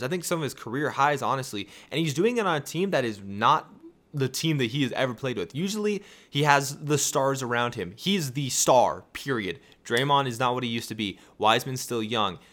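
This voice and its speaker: A tired young man with a raspy voice, hosting a casual sports podcast and rambling through excited rhetorical questions. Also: medium pitch. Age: young adult. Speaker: podcast host.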